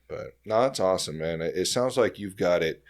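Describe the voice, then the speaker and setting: A man with a low-pitched voice hosts a casual interview. He talks informally and encouragingly, as in a relaxed chat between two people. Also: brisk, vocal fry. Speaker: podcast host.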